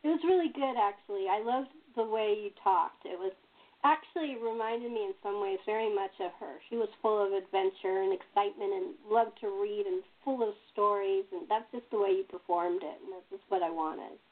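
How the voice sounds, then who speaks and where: A woman's voice: mid-range voice, a little fast. A woman hosting a casual chat, telling stories in a conversational way. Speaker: host and playwright. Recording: thin phone-like call audio.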